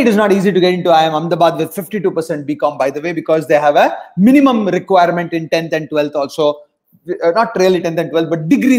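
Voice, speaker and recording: Emphatic South Indian coach on a call. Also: male voice, on the high side, a little mellow. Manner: emphatic, repeats lines for effect, mixes in Hindi. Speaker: test prep coach. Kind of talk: motivational webinar talk. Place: home room on a video call.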